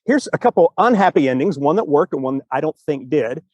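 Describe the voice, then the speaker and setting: A man with a somewhat high voice talks as a podcast host. He explains things casually in a rambling, animated way, voicing reactions as he goes. The sound is very dry, with no room to it. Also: a little fast, no room sound. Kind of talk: casual discussion of storytelling. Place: remote video call.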